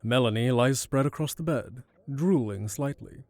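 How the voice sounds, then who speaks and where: A man narrating an audio drama from a script in a measured, descriptive storytelling manner. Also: medium pitch, darkish tone, no echo.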